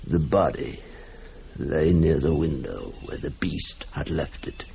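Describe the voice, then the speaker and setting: A haunted, guilt-ridden man tells his tale in an old radio horror play. His delivery is slow, halting and dramatic, with a gravelly creak, over a noisy vintage recording. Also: very deep voice, dark tone, full character voice. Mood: grim, hushed. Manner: halting, dramatic, broken phrases.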